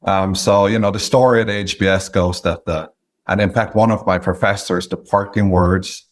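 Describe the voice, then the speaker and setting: A man in his late thirties from Norway with a Norwegian accent, a guest in an interview who speaks in a measured way with frequent pauses. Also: sits low. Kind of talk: interview conversation.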